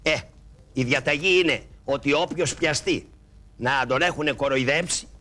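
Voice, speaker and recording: Shadow-puppet sidekick, fussy and lecturing, bright and slightly high, done by a man. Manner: prim, lecturing, giving instructions. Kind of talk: Greek shadow-puppet theatre.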